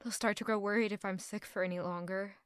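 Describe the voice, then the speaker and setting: A woman's voice: mid-range voice, fairly even intonation, fast talker, clean tone, no echo, clean studio sound, lightly acted. An actress playing an anguished, proud young court lady in an audio drama, brooding intimately in a dramatic first-person monologue. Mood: weary, brooding. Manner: intimate, brooding, emotionally intense.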